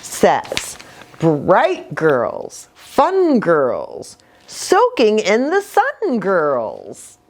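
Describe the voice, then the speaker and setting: Animated woman hosting children's storytime. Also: medium pitch, drawn-out words, a little hiss or hum. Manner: animated, addressing young children with questions. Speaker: children's ministry host and pastor. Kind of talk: children's storytime, read-aloud with asides.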